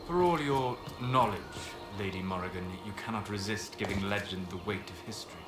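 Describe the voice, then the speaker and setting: Actor as a low-voiced, learned elf sage. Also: male voice, lightly acted. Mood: dry reproof. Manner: measured, formal, cutting. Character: calm, learned male elf sage, gently reproving. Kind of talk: video game dialogue acting. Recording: echoey game audio under music.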